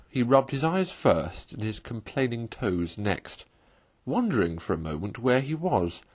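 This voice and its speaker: A man reading a classic children's story aloud as an expressive, literary narrator. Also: medium pitch, breathy edge.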